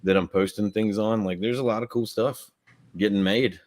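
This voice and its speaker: A man's voice: on the low side, steady tone, normal speed, a little mellow. A man, chatting casually and rambling on a livestream about a comic he co-created. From Southern US. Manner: rambling, heavy use of "like".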